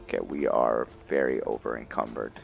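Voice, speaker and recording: A man giving casual commentary as he plays a roleplaying game, thinking aloud in a halting way. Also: rumbling low, gravelly, lo-fi sound, faint music behind. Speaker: gameplay host.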